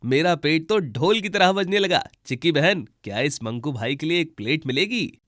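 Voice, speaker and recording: A jolly, food-loving cartoon monkey played by a man, pitched high and recorded dry. He speaks in an exclamatory, hungry way. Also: expressive, moderate pace, fairly bright tone, dry recording. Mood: delighted, hungry. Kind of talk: Hindi animated children's story dialogue.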